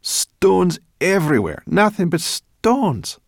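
A man's voice: mid-range voice, animated, moderate pace, no echo, clean studio sound, voice pushed up, close to an everyday voice. A man voicing a cross, grumbling female brownie creature in a storybook reading. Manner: grumbling, scolding.

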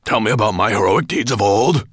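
A man's voice: low-pitched, huge pitch swings, measured pace, gravelly, sharp tone, no echo, studio quality, heavily acted. A man voicing a huge, vain, irritable male golden dragon with a growling, snarling voice and an imperious, insulting manner, in a storybook reading. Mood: snarling impatience.

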